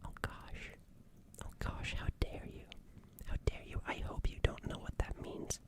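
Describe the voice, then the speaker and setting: Whispering, gentle alien medic acted by someone. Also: lightly acted. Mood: flustered, concerned. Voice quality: breathy whisper. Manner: hesitant, halting, repeats phrases softly. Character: gentle, apologetic alien medic tending a crashed human. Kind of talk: whispered ASMR roleplay.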